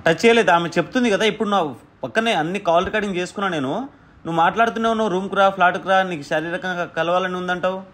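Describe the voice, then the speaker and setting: A man with a slightly high voice argues on a phone call at a rapid pace. He scolds and threatens the other party, hammering the same demands again and again. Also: steady tone, fairly bright tone, slight background noise. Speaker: prank-call host posing as the woman's brother. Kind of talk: confrontational phone argument.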